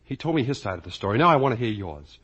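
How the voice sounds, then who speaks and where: Earnest, husky young son. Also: male voice, medium pitch, big pitch swings, racing, a bit bright, lo-fi sound. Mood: pleading, intense. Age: young adult. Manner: earnest, pleading. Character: earnest, anguished adult son doubting his father. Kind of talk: 1950 radio drama acting. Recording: husky-sounding vintage radio recording.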